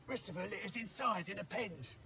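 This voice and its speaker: An actor voicing a crude, mocking goblin raider in a video game, with a snarling voice and coarse slang. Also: male voice, high voice, brisk, pushed and bright, slight background noise. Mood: gloating, goading. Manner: coarse rustic slang with inverted sentences. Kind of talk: video game character acting.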